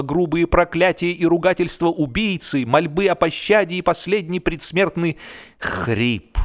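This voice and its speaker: Theatrical man narrating a humorous story over music. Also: mid-range voice. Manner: expressive, mock-serious, dramatic pauses. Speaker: audiobook narrator and performer.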